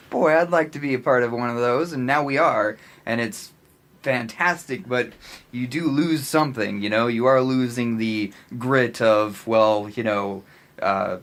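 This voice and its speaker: An American man chatting casually as a podcast co-host, often halting as he searches for his words. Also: mid-range voice, a bit bright.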